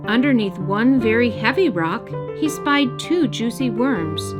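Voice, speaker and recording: A woman narrating a children's storybook as the storyteller, in an expressive scripted read-aloud style. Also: a little high, a little slow. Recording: music bed behind narration.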